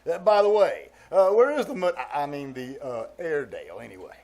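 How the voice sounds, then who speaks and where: A man's voice: a little high, expressive, fairly quick, darkish tone. A man playing a pompous, flustered middle-aged uncle in 1940s radio comedy style, hemming and indignant. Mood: bemused, fatherly.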